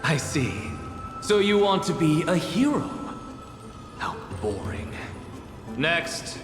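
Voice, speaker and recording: Casting: pompous, exacting game professor; unhurried, withering delivery in a reverberant space, music underneath. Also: male voice, on the high side, big pitch swings. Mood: brusque, dismissive. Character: haughty, exacting male professor and renowned scholar. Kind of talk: dramatic video game voice acting. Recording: echoey long reverb with music behind.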